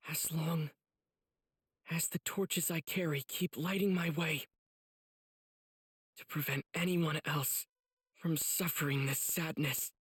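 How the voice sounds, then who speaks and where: A man voicing an earnest, determined teenage boy swordsman in an English anime video game dub, with a breathy, husky voice. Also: fairly high pitch, fairly bright tone, no echo, studio quality, full character voice. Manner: earnest, breathy inner monologue bursting into exclamations.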